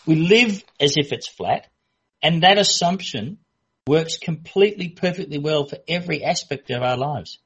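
A man in casual discussion, speaking in a measured way with stammering restarts. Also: a little high, animated, a bit bright, breathy edge. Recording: muffled lo-fi recording.

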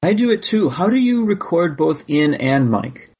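Gravelly, husky man in casual chat. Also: fairly high pitch, sing-song, moderate pace, darkish tone. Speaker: guest.

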